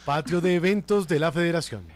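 An actor playing a dramatic radio soap announcer who sets each scene in scripted narration. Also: male voice, a little high, a little fast, crowd noise, natural voice.

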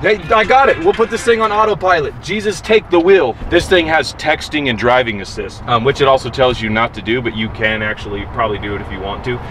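A man in his thirties hosting a casual vlog, talking to a handheld camera outdoors and then from inside a pickup truck, joking and rambling excitedly. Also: medium pitch, brisk, hardly any pauses. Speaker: vlogger, reptile-hunting channel host. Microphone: handheld camera at arm's length. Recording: noisy outdoor and road sound.

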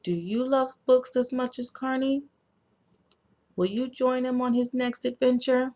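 An American woman reading a children's storybook aloud as a narrator, in a deliberate, expressive read-aloud manner. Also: high voice. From United States. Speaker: children's author reading own book.

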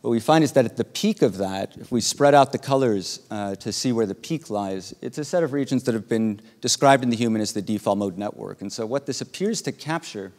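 A man giving a keynote talk at an academic conference, explaining slides in a measured way with frequent filled pauses. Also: medium pitch, lively intonation, darkish tone. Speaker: keynote speaker. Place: large lecture hall. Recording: reverberant lecture hall sound.

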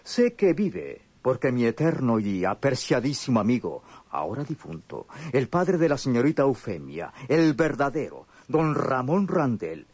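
An actor in a radio soap playing a pedantic, courteous lawyer who speaks formally and hesitantly. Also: male voice, mid-range voice, sing-song, a little slow, few pauses, a little mellow, breathy edge. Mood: solemn, wistful. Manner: pompous, hesitant, precise diction. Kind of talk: radionovela acting.

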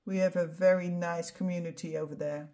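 A middle-aged woman with a Dutch accent, not a native English speaker, calmly explaining each step of a painting tutorial as she works. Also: on the low side, brisk. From Netherlands. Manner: calm step-by-step explaining while demonstrating. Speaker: art instructor.

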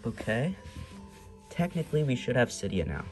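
A man's voice: medium pitch, big pitch swings, moderate pace, darkish tone. A teenage male with a stuffy, congested voice from a cold, giving a casual tech tutorial in a rambling, hesitant way. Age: teens. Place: bedroom at home. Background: background music.

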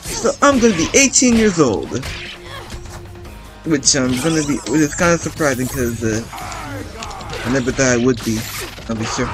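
एक किशोर लड़का, जो गेम खेलते हुए बिखरी, बातूनी कमेंट्री करता है, बार-बार "um" कहकर वाक्य दोबारा शुरू करता है, पीछे गेम का संगीत साफ़ बजता है।. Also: male voice, medium pitch, sing-song, moderate pace, long pauses. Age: teens. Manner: rambling, chatty, many restarts and ums. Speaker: gameplay commentator. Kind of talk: casual let's-play commentary. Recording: game music playing underneath.

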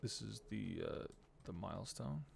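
Male streamer, thirties, heavy vocal fry, lively pitch movement, casual muttered think-aloud while reading game text. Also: unhurried, a little hiss or hum. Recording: game music behind the voice. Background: video game music.

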